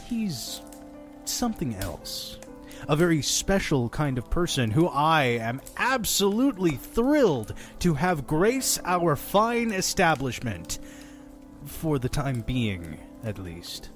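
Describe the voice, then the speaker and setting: A man playing a fussy, sardonic innkeeper who tells dark horror tales in a theatrical, deliberate way for an audio drama. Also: fairly high pitch, very animated, faint music behind. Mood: exasperated, sarcastic. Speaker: storytelling host of a horror anthology.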